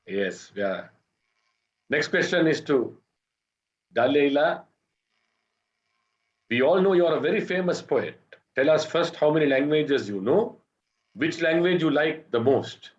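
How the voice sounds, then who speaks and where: A man's voice: medium pitch, dull audio. A man moderating an online panel, politely reading out questions to the guests. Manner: reads out questions, polite and brief. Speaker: webinar moderator. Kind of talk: moderating a webinar.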